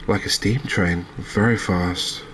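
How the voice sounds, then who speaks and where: A man with a low voice gives cozy, ASMR-style game commentary. His pitch stays very even as he rambles and muses to himself, and some light noise sits behind him. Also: takes it slowly. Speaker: gaming channel host.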